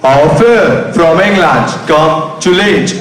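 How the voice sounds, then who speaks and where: A man's voice: fairly high pitch, animated, slow pace, a bit bright. A male actor playing a formal ambassador bearing grave news in stage Shakespeare, in a very echoey hall. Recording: very echoey hall, faint noise.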